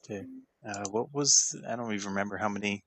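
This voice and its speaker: A man's voice: lowish voice, fast, fairly bright tone. A man chatting casually as a player at a tabletop role-playing game.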